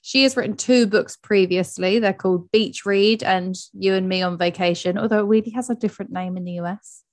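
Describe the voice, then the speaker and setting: Lively woman co-host, casual chat, summarizes plots. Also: mid-range voice, brisk. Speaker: podcast co-host.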